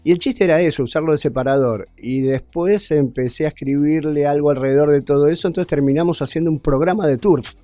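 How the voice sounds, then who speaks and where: A man's voice: a little high, fairly quick, hardly any pauses, mellow, no room sound. An Argentine man, a comedy writer for radio, telling a casual anecdote. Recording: music under the voice.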